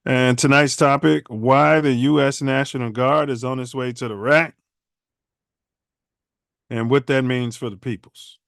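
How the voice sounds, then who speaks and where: Smooth-voiced man hosting a podcast. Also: mid-range voice, fairly even intonation, a little slow, a bit bright, dry recording, clean studio sound. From Chicago. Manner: loose, rambling, slangy delivery with many filler sounds. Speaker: podcast host. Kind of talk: casual livestream podcast chat.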